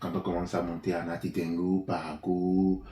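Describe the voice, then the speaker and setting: A man's voice: low-pitched, monotone, moderate pace, warm tone. A man from Benin, an interview guest, answering in a hesitant conversational way with many fillers and restarts. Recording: echoey room, faint noise.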